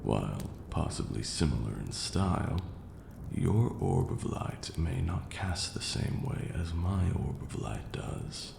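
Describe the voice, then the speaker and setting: A haughty, patient vampire lord, acted by a man for an ASMR roleplay, lectures like a pompous tutor of magic. The voice is deep and slow, with long deliberate pauses. It comes through with a clear background noise. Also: lively intonation, full character voice. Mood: haughty disdain. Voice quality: low, gravelly. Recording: roomy echo, audible background hiss.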